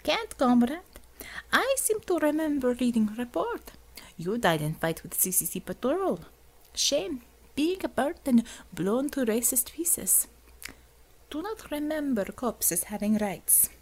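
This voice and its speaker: A woman's voice: high voice, very animated, measured pace, a little mellow, voice pushed up, heavily acted. A woman voicing a domineering female commissar with a Russian accent and a clipped, contemptuous manner. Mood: mocking.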